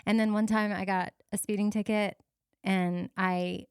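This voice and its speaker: A woman's voice: mid-range voice, level tone, clear, resonant tone, no room sound, studio quality. A woman co-hosting a podcast in a casual chat, joking and going off on tangents. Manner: playful, joking, quick tangents. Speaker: podcast co-host.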